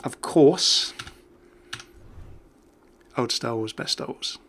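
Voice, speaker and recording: A man's voice: medium pitch, drawn-out words, fairly bright tone, slight background noise. A man giving casual, jokey commentary as a gaming video host. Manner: chatty, jokey.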